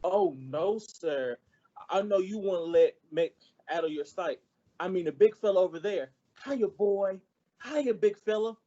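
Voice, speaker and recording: Man acting an eager, mellow-voiced naive schoolboy. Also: sits high, lively intonation, unhurried, dull audio. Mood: eager, friendly. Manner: earnest, folksy, polite. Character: eager, naive, good-natured teenage schoolboy. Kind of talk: old-time radio comedy acting.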